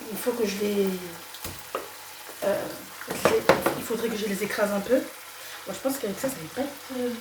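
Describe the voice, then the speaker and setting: A woman's voice: fairly high pitch, background noise. A young woman with a slight cold talking casually through a home cooking video, hesitant and full of fillers and restarts. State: has a cold. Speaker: cooking vlogger. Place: home kitchen. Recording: echoey kitchen, voice from far off. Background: sizzling pan and stirring.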